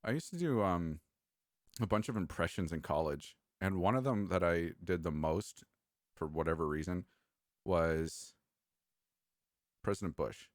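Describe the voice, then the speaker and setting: A man's voice: sits low, measured pace, long pauses, no echo, clean studio sound. A man co-hosting a casual chat, joking around and sometimes trailing off mid-thought. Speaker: podcast co-host.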